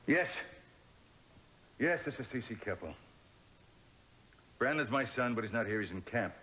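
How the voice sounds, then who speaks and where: A man's voice: mid-range voice, lively intonation, moderate pace, background noise, lightly acted. An actor playing a stern father in a soap opera, commanding and cold in manner. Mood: fatherly.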